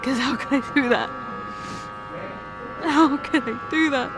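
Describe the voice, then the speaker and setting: A woman playing a distraught young fiancée who confesses in halting speech, often restarting her sentences. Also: sits high, very noisy. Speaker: ASMR roleplay performer. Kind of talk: ASMR roleplay.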